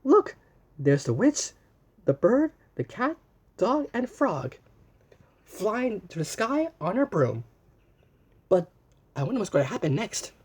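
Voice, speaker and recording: Children's storyteller: woman, middle pitch, hugely expressive, slightly raspy, dramatic read-aloud. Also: slow pace, pauses often. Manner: dramatic, exclamatory, child-directed. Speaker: picture-book storyteller.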